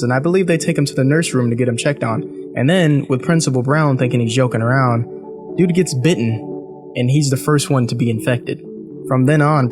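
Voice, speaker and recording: A man giving casual, slangy reaction commentary, speaking directly to his viewers. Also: mid-range voice. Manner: informal slangy talk, addressing viewers directly. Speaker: reaction video host.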